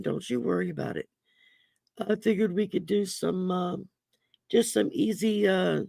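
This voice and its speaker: Woman, gravelly, raspy, slow-talking, folksy livestream host, card reader. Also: fairly low pitch, darkish tone, dry recording. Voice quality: gravelly voice from damaged vocal cords. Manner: slow, folksy, chatty with many ums. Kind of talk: casual livestream chat. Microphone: computer mic on a livestream.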